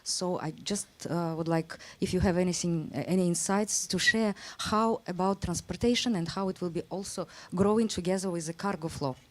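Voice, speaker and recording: Woman from kazakhstan, audience question, lowish voice, hesitant. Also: normal speed, hardly any pauses. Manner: hesitant, searching for words. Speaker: audience member asking a question. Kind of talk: question from the floor.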